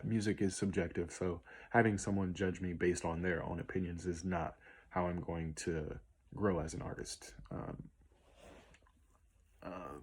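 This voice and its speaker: Late-20s male musician vlogger, low, slightly hoarse voice, loose and unscripted, spells out names slowly. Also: darkish tone. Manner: easygoing, rambling with many ums and spelled-out names. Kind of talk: casual Q&A vlog.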